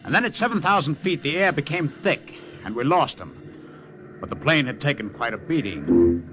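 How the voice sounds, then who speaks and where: A man's voice: fairly high pitch, big pitch swings, normal speed, slight background noise. An actor playing an American wartime airman who recounts his mission in urgent, clipped, old-time radio style. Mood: grim narration. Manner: urgent, clipped, dramatic delivery. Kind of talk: 1950s radio adventure acting and narration. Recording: old radio recording, loud dramatic music.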